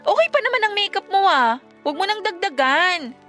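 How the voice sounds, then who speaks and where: A young girlfriend in a radio drama, curious and candid. Her voice is bright and animated, high in pitch, with a blunt but affectionate casual manner. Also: fairly quick, faint music behind, full character voice. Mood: affectionate, coaxing.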